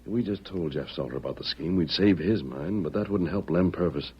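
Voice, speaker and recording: An actor playing a calm, decisive masked lawman in an old radio western, firm and measured. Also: low voice, husky. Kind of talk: 1940s radio western acting. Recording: dull old radio recording, crowd-like noise behind.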